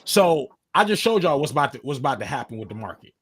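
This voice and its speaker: A man's voice: mid-range voice, sing-song, quick pace, a bit bright, breathy edge. A man in his thirties hosting a livestream chat, talking in a profane, slangy way and steering the talk with questions. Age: 30s. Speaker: livestream host. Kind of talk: casual chat. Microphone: distorted mic at times.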